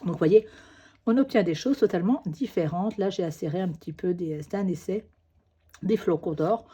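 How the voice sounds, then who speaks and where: A woman's voice: fairly low pitch, moderate pace, dark tone, slightly rough. A woman with a cold, coughing now and then, chatting her way through a crafting tutorial with little fillers as she works. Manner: chatty, fillers like "hop" and "euh" while working. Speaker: crafting demonstrator.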